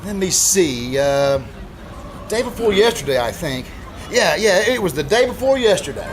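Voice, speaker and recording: A man's voice: sits high, very animated, normal speed, loud music behind the voice. A man acting a helpful, easygoing young taxi driver in a radio drama, folksy and thinking aloud. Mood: helpful, curious.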